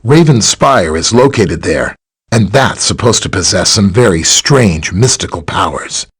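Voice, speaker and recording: A man's voice: low-pitched, expressive, measured pace, slightly rough, full character voice. A man playing a friendly, easygoing superhero scientist in a video game, explaining things in a casual, conversational way. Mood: explanatory. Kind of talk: video game character dialogue, briefing and greeting.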